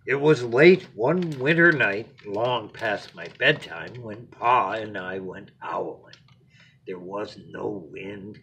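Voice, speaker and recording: A man reads a children's picture book aloud as a bedtime story, expressively, with dramatic pauses and phrases that drop to a hush. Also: fairly low pitch, a little hiss or hum.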